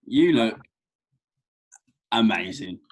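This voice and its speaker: A man's voice: on the low side, expressive, very slow, slightly rough, exaggerated voice. A man playing an awkward, eager young man in a script read, hesitant and conversational.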